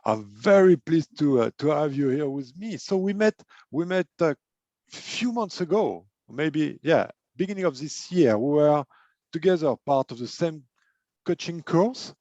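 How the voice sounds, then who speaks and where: A man's voice: on the high side, expressive, a little slow, dark tone, no echo, highs cut off. A man who is not a native English speaker hosts an online interview, talking hesitantly with many fillers and repeated words. He works as a life and business coach. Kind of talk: casual interview chat.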